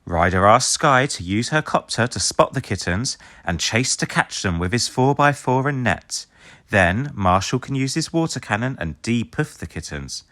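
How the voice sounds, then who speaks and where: Animated man reading a children's story. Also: mid-range voice, moderate pace, dry recording, clean studio sound. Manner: expressive, acts out dialogue for children. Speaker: storybook reader. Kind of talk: scripted children's story narration read aloud.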